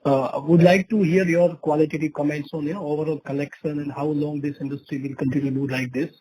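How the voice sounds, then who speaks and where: A man's voice: on the high side, fairly even intonation, quick pace, dark tone. A man with an Indian accent asking questions as an analyst on an earnings call, in hesitant, stop-start phrases. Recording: faintly noisy conference call.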